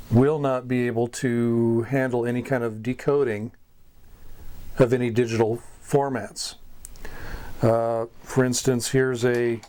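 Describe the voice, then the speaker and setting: A man hosting an informal hobby lesson, explaining step by step in a halting way and repeating words as he goes. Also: medium pitch, darkish tone. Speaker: radio hobbyist host giving beginner advice. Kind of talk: informal instructional talk.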